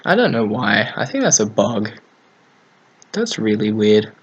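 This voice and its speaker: A man casually hosting a software tutorial, thinking aloud in an offhand way as he works at his computer. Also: lowish voice, big pitch swings, unhurried, slightly rough, dull audio. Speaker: tutorial host. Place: at a computer desk, screen recording.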